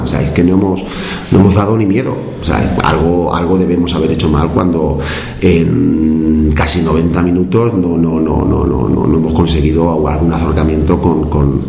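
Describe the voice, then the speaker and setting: A football coach from La Mancha speaks in a very low, gravelly voice with a mellow, dark tone. He talks in a hesitant way and keeps repeating words as he gathers his thoughts. The recording is echoey, with faint background noise. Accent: Spanish, La Mancha. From Spain, La Mancha. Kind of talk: post-match interview.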